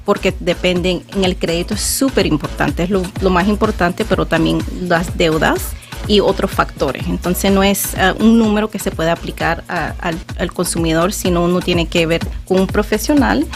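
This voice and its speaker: A woman with a lowish, creaky voice explains things informally. She is slightly hesitant, and music plays faintly behind her. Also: fairly quick. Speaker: radio guest relaying in Spanish.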